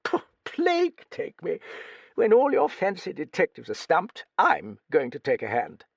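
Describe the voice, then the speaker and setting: A man doing a gruff old lady, blustery and high. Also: wildly swooping pitch, measured pace, no room sound, dull audio, full character voice. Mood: bluff, gossipy. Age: older. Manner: blustering, swearing, hearty. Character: gruff old Australian-born woman, blunt and cheeky.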